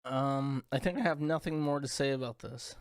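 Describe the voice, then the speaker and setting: A man's voice: mid-range voice, moderate pace, no echo, clean studio sound. A young man hosting a casual livestream, rambling, crude and sarcastic as he talks to chat. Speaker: livestream host.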